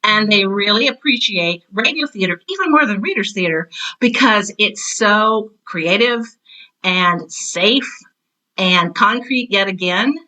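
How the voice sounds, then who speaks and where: A middle-aged American woman with a very bright, forward voice. She talks as a podcast guest in a casual, chatty way, full of enthusiasm and small self-deprecating asides. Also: mid-range voice, lively intonation, a little slow, rough recording. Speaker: podcast guest, teacher and author. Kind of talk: casual interview chat.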